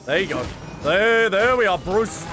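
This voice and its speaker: Jokey gaming streamer with lively pitch. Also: male voice, measured pace, over music. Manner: jokey, chatty, laughs often. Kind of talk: let's play commentary.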